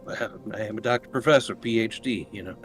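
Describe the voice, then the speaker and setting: A man's voice: on the low side, a little fast, a bit bright. A man playing a tabletop game, talking casually and tentatively as he thinks aloud. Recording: music and keyboard typing behind voice. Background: music, typing.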